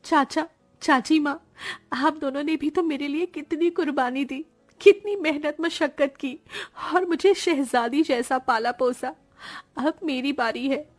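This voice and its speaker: A woman's voice: high-pitched, unhurried. A woman voicing an earnest, plaintive woman of a poor family in a melodramatic cartoon story. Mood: tearful, plaintive. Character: women of a poor family drama: tearful young niece, careworn aunt. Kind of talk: Hindi cartoon story voice acting.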